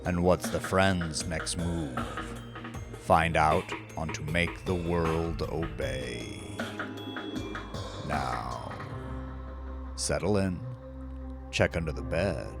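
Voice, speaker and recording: A man presenting a horror audiodrama with scripted narration, deliberate and theatrical like a horror host. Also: rumbling low, lively intonation. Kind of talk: scripted recap and story narration. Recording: music under the voice. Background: music.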